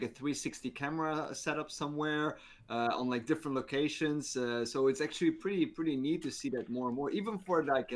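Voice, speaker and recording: A man co-hosting a podcast in casual chat, easygoing, often repeating words as he finds them. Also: medium pitch. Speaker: podcast co-host.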